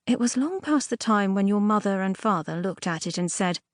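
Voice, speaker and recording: A woman reading a storybook fairy tale as a scripted audiobook narration, expressively and a little primly, with dramatic emphasis and pauses. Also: mid-range voice, lively intonation, no echo. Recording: dry close studio audiobook recording.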